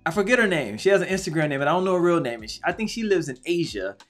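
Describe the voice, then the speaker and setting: A man's voice: fairly high pitch, animated, very fast, a bit bright, faint music behind. A man hosting a casual talk show interview, chatty and hyped, repeating himself often.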